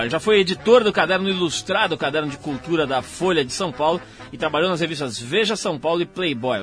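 A Brazilian man hosts a talk show in a chatty, joking manner, fixing his own words as he goes. His voice sits a little high, and soft music plays faintly behind him. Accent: Brazilian Portuguese. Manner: chatty, jokey, self-correcting. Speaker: radio show host. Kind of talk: hosted talk show introduction and interview.

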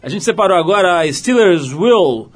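A man's voice: high-pitched, expressive, normal speed, soft background music. A man hosting a casual radio interview, joking and laughing as he asks questions. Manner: jokey, laughs while asking. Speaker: radio show host.

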